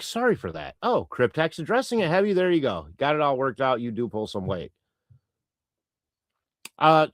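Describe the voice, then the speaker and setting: A man whose first language is Croatian chats loosely through a livestream, reading viewers' comments in a rambling way full of ums and restarts. His pitch swings about in a lively way, and the sound is dry and close. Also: no room sound. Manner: rambling, chatty, many fillers and restarts. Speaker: livestream host reading chat. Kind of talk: casual live-stream chat.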